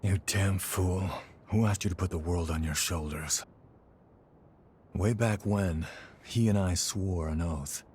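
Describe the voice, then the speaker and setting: An actor playing a gruff, loyal warrior in a video game, brooding and blunt, with casual wording and firm resolve. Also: male voice, sits low, slow, darkish tone, slight background noise. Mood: rueful, determined. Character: gruff, loyal male warrior determined to rescue his friend. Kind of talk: video game cutscene acting.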